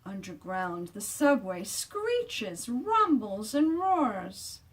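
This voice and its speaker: Female author for picture-book read-aloud: slow, deliberate, expressive, stretches key words, recorded slightly off mic. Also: medium pitch, distant mic. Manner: deliberate, drawing out sound words for emphasis. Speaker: children's author reading own book aloud.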